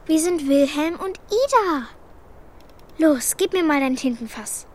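A woman's voice: high-pitched, expressive, measured pace, darkish tone. A woman voicing a plucky, bossy orphan girl in a children's radio play, blunt and pert in manner. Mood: pert, determined.

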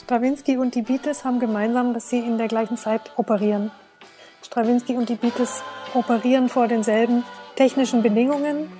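A woman giving measured, lecture-like explanations as an expert commentator. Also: a little high, steady tone, over music.

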